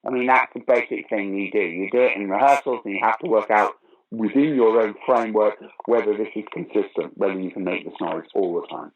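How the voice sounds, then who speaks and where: Older male, low and husky, a theatrical raconteur in conversational interview mode. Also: brisk, highs cut off. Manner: theatrical, emphatic storyteller who acts out remarks. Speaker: interview guest, pantomime actor and former opera singer. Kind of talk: animated anecdotal interview talk.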